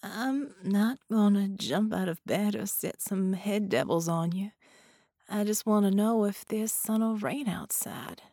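A woman's voice: mid-range voice, measured pace, mellow, no echo, studio quality, pitched above the performer's own voice. A woman voicing a plainspoken, stubborn wounded female veteran in audiobook dialogue, frail and wavering. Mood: pleading. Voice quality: wavering, fragile. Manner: folksy, plainspoken.

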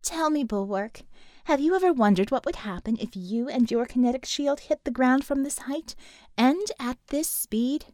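A woman's voice: high voice, moderate pace, mellow, dry recording, clean studio sound, natural voice. A woman voicing a taunting, threatening female villain in an audiobook. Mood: mocking, sweet menace. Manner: mocking, threatening.

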